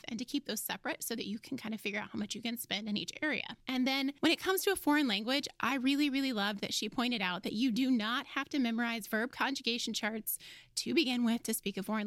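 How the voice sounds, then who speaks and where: Woman, American accent, podcast host, chatty informal delivery, bright voice, close dry sound. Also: a little high, no room sound. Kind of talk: casual podcast hosting.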